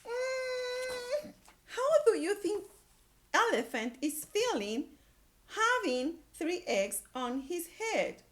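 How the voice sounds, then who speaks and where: A woman's voice: very high voice, big pitch swings, slow, a bit bright. A woman teacher reading aloud to young children, using simple words, repetition and questions. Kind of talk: animated read-aloud lesson.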